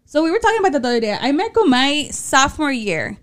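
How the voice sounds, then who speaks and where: A woman's voice: high voice, very animated, quick pace, a bit bright. A woman about to turn thirty with a Mexican American accent, co-hosting a podcast in casual chat. She swears freely, laughs often and slips into Spanish. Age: late 20s. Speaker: podcast co-host.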